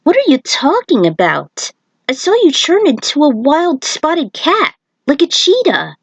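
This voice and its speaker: A woman's voice: high voice, huge pitch swings, a little slow, no room sound, voice pushed up, heavily acted. A woman voicing an earnest male shaman warrior who explains things in a fan fiction story. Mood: amazed, teasing. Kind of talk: character voice in fan fiction reading.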